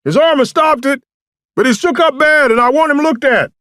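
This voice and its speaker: A man voicing an urgent state police detective in an audiobook, calling out loudly in a strained voice. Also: very high-pitched, normal speed, no echo, clean studio sound, pitched above the performer's own voice. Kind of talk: audiobook character acting.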